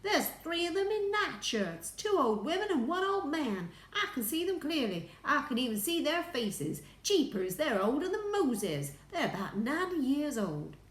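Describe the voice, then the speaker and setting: A woman voicing a flustered, earnest male astronaut in a storybook, crying out emphatically in excitement. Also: high-pitched, unhurried, hardly any pauses, echoey room, voice pushed up, full character voice. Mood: amazed, insistent.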